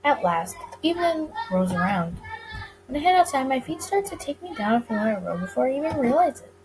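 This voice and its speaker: Female game narrator, higher voice, expressive story reading, noticeable background noise. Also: fairly quick. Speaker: gaming video creator. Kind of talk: reading a visual novel aloud, dramatic narration.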